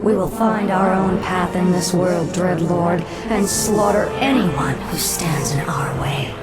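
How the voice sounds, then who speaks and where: A woman's voice: lowish voice, slow. An actress voicing a cold, commanding undead queen who gives clipped, icy orders. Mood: proud. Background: music.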